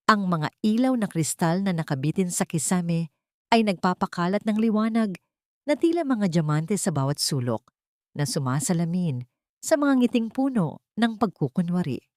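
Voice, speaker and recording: A woman narrates an audio drama in a scripted, dramatic storytelling style. Her voice sits a little low for a woman, and the sound is dry with no room around it. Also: darkish tone, dry recording.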